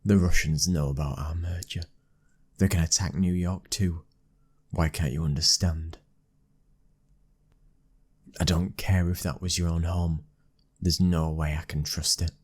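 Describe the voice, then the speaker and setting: A British man in an ASMR boyfriend roleplay, playing a commanding, protective young mafia boss's son with a low, husky, controlled voice. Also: steady tone, measured pace, long pauses, darkish tone. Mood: stern, protective.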